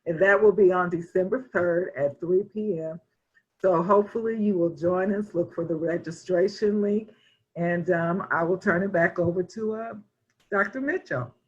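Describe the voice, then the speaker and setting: A woman's voice: lowish voice, normal speed, a little mellow, husky, lo-fi sound. A woman who manages a student program, formally welcoming everyone and announcing the webinar series schedule. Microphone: video call.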